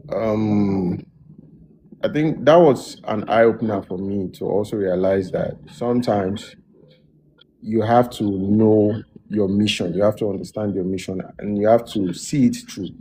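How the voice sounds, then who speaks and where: A man's voice: on the low side, a little hiss or hum, low-quality recording. A man, a music producer, telling his story in a casual interview with a lot of restarts. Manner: relaxed, loose storytelling with many restarts.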